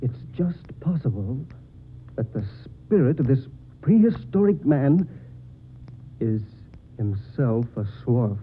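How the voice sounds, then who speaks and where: Confident investigator, tinny old radio. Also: male voice, medium pitch, big pitch swings, slow, pauses often, husky, full character voice. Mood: intrigued. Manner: articulate, assertive, theatrical. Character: confident, educated male investigator of strange mysteries. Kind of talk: old-time radio drama acting. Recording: thin, noisy old radio recording.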